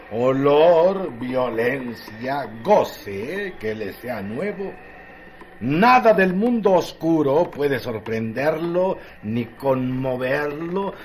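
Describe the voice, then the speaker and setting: Wry lowlife storyteller for radio drama, halting emphatic delivery, lively pitch, bit of noise. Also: male voice. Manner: theatrical, halting, emphatic pauses. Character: wry gossipy male storyteller of brothel lowlife, relishing details. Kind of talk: radio drama narration, colloquial.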